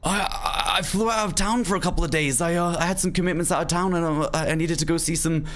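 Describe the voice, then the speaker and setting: A man's voice: a little high, steady tone, fast talker, fairly bright tone, voice pushed up, natural voice. A man playing a confused, nervous young character who stammers and asks anxious questions. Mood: worried. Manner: flustered, stammering.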